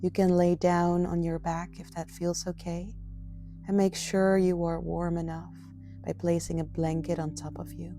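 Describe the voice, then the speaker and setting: A woman leading a guided meditation as a yoga nidra guide, speaking in a soft, gentle, soothing and often breathy manner. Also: on the low side, level tone, normal speed, faint music behind.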